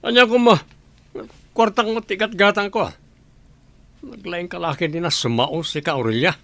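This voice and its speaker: A man's voice: high-pitched, moderate pace, creaky, a bit bright. A man playing a cocky, hard-drinking husband in a radio drama, boastful and teasing. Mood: defiant. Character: cocky, hard-drinking, carefree husband who brushes off scolding. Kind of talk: radio drama acting in Ilocano.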